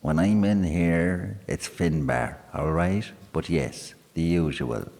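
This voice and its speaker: A man's voice: low voice, expressive, slow pace, gravelly, darkish tone, full character voice. A man voicing a proud, boastful politician in his forties, holding forth in a pub. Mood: hearty. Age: 40s. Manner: pompous, boastful, self-satisfied. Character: proud middle-aged male politician, barrister. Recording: long hall reverb.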